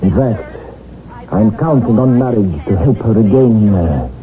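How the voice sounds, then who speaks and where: Through a tinny, phone-like old radio sound comes an urbane professor guarding secrets. His low voice is measured, formal and smoothly patronizing. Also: expressive, faint music behind, some chatter behind. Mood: suave, reassuring. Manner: measured, formal, deliberate pauses. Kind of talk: 1970s radio drama acting.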